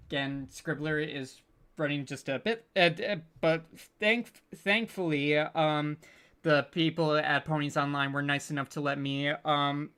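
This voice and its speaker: A man's voice: on the high side, sharp tone, smooth. A man hosting a casual unscripted panel, speaking in a hesitant, stammering, stop-start way and sounding apologetic. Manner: apologetic, self-deprecating. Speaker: panel host, audio drama producer.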